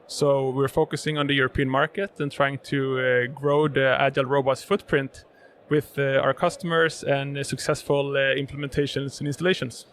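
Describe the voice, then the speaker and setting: A man's voice: mid-range voice, flat delivery, moderate pace, a bit bright, a little hiss or hum. A Swedish man whose first language is Swedish, a guest explaining robotics in an interview, with many fillers and repeated words. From Sweden. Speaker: guest, robotics sales manager. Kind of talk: conversational interview answers. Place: live event podcast booth.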